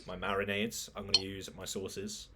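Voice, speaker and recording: A man's voice: low voice, monotone, moderate pace, heavy background noise. A man, co-hosting a podcast and joking through casual banter. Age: 20s. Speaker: podcast co-host. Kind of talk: casual chat.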